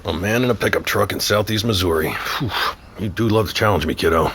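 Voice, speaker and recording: Gruff middle-aged dad, low voice, wry n probing, audio drama, slight background noise. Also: male voice, fairly quick, fairly bright tone. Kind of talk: naturalistic audio drama acting.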